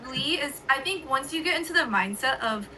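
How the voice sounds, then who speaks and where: A woman in a casual podcast chat, offering thoughtful, gentle advice. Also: high-pitched, expressive, fairly quick, a loud crowd. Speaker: podcast guest.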